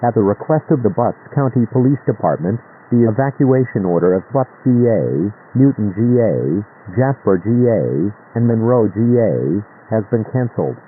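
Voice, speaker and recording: A man's voice: lowish voice, expressive, normal speed, few pauses. Man, synthetic voice, varied intonation, emergency broadcast script, tinny radio sound with faint noise. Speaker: emergency alert announcer.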